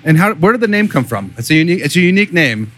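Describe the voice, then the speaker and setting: A man's voice: fairly high pitch, sing-song, rapid-fire, a bit bright, faint music behind. A man hosting a podcast, speaking in a casual, conversational interviewing style. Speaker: podcast host.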